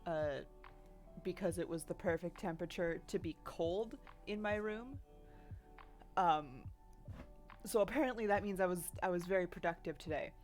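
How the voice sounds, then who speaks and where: A woman's voice: on the low side, normal speed, pauses often, darkish tone, faint music behind. Sniffly, chatty woman streamer. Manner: rambling, chatty, laughs often, reads chat aloud. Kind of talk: casual chat while drawing on stream. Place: home bedroom.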